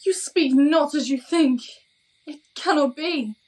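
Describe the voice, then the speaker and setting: A woman's voice: high voice, takes it slowly, darkish tone. An actress playing a devoted, bewildered young woman in a Shakespearean audio drama, speaking verse earnestly and pleadingly.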